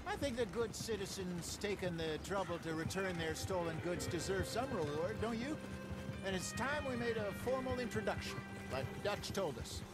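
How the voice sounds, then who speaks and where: An actor playing a genteel, sly old outlaw con man, smooth-talking and wry, in a western video game. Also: sits high, expressive, moderate pace, loud music behind the voice, rough recording. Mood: genial, scheming. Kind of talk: video game cutscene acting.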